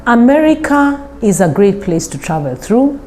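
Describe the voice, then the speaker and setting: A middle-aged Kenyan woman, a travel coach, talking casually in an interview with thoughtful pauses. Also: fairly high pitch, very animated, warm tone, faint music behind. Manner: thoughtful, with many pauses and fillers.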